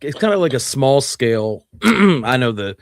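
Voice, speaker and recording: A man co-hosting a radio show, offering casual commentary in a measured, reflective way. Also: medium pitch, big pitch swings, slight background noise.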